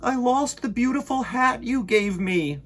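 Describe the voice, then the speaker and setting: Storybook bear, played by a man: high-pitched, eager and affectionate, big slow exclamations. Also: expressive, fairly bright tone, full character voice. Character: cheerful, affectionate storybook bear shouting to the moon.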